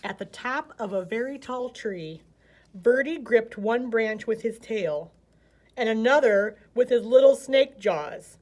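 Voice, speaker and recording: A woman's voice: a little high, animated, measured pace, darkish tone. An American woman narrating a children's picture book expressively as a storyteller.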